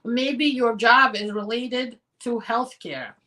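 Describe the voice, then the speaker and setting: A woman immigration attorney hosting a live question-and-answer show, reading viewer questions and answering them directly while her voice is going hoarse. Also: a little high, bright, forceful tone, distant mic. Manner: direct and advising, with quick practical answers.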